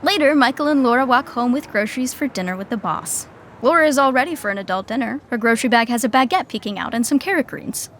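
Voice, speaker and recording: A woman's voice: fairly high pitch, expressive, fast talker, fairly bright tone, a little hiss or hum. A woman playing a wry narrator in a scripted podcast sitcom. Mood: brisk narrating.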